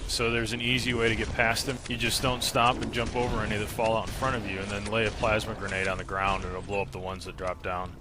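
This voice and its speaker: Lowish male walkthrough narrator, noisy game behind. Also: flat delivery, fast talker, hardly any pauses. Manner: matter-of-fact, explanatory. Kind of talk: instructional gameplay commentary. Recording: loud game audio behind voice. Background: shooter game sound effects.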